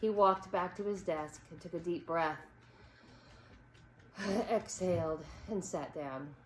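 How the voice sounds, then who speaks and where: A woman reading a children's story aloud as an expressive narrator. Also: fairly low pitch, slow pace. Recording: echoey room with background noise.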